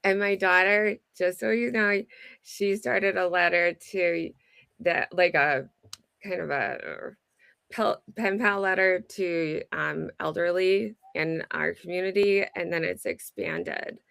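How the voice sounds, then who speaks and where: A woman's voice: lowish voice, slow, gravelly, fairly bright tone, smooth, no echo. A woman co-hosting a video chat, talking in a heartfelt, chatty way. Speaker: co-host. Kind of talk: casual chat on a live video call.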